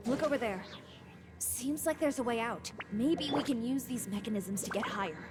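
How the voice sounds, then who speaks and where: A woman's voice: fairly high pitch, normal speed, over music, lightly acted. An actress playing a composed, resolute young woman in a fantasy video game, speaking in a matter-of-fact way. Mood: cool determined. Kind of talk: video game character dialogue.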